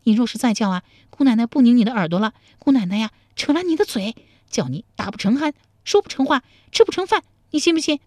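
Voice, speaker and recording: A feisty young country woman from a storybook, acted out by a female narrator, speaks high and commanding. Her pitch swoops freely as she scolds and teases with a sharp tongue, recorded close and dry. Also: big pitch swings, a little fast, no room sound. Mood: fierce scolding. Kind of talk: dramatized storybook dialogue.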